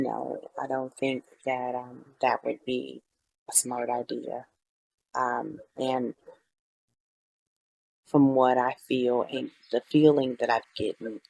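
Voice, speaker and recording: A woman who works as a teacher, giving a measured, hesitant answer in a panel discussion with frequent pauses. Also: rumbling low, gravelly, a little mellow, slightly rough, rough recording.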